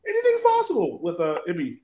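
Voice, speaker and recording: A man's voice: sits high, huge pitch swings, fast talker, mellow, slight background noise. A man hosting a casual sports podcast, chatting in a playful, teasing way. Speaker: podcast host.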